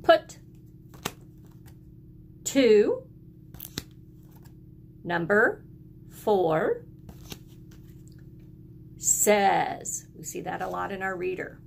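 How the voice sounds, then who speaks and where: A woman's voice: medium pitch, very animated, very slow, vocal fry, pauses often, a little hiss or hum. A woman teacher giving a lesson to young children, encouraging them in simple phrases and prompting them to repeat after her. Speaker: early elementary school teacher.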